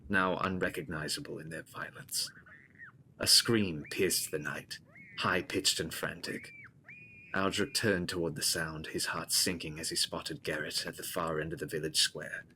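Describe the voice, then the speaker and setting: A man's voice: deep voice, sharp tone, a little hiss or hum, low-quality recording. A man delivering scripted, dramatic narration of a gothic horror story.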